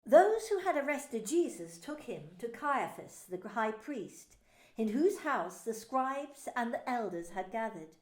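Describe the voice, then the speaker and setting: A woman minister narrating scripture in an expressive, deliberate way. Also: fairly high pitch. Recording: echoey room.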